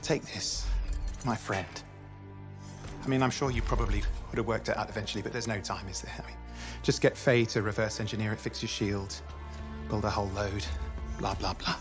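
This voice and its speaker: An actor playing a flamboyant, smug young turncoat in a video game, sardonic, chatty and given to theatrical self-pity. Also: male voice, fairly low pitch, fairly even intonation, a little fast, music in the background, close to an everyday voice. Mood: sombre, apologetic.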